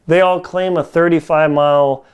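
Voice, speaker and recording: A man's voice: a little high, fairly even intonation, normal speed, smooth, highs cut off. A man in his forties giving a casual, hands-on gear review in informal, folksy wording, recorded indoors on a lapel mic. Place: home kitchen, indoors.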